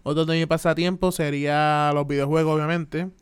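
Man, 20s, Puerto Rican accent, podcast host, casual chat, very smooth voice, slightly high. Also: level tone, a little fast. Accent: Puerto Rican Spanish. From Puerto Rico. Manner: chatty, with frequent "este" and "pues" fillers. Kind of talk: casual solo podcast monologue.

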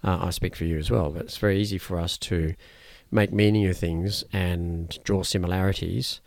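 A man whose first language is Cantonese, a martial arts teacher explaining his teaching in a thoughtful interview, often pausing and restarting. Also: sits low, fairly even intonation, gravelly, a little mellow, dry recording. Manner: measured, thoughtful, with frequent fillers and restarts. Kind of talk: conversational interview answers.

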